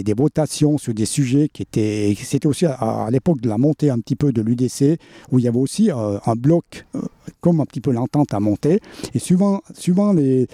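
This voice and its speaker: A man's voice: mid-range voice, dark tone, no room sound, clean studio sound. A man answering interview questions about his time on a local council, speaking hesitantly with many fillers and restarts. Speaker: guest, former council member.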